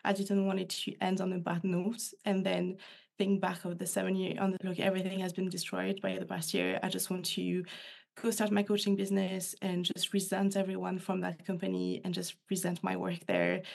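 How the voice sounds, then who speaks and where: A woman with a French accent speaks as a podcast guest, her voice low and gravelly with plenty of vocal fry. She talks in a thoughtful, reflective way, keeping her pitch fairly flat and even. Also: normal speed. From France. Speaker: guest, new coach. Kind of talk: podcast interview.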